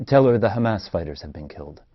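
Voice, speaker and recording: A man's voice: fairly low pitch, lively intonation, fast, breathy edge. An actor in a play reading, playing an anxious, protective man in an Israeli family, on a dull recording. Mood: tense, insistent.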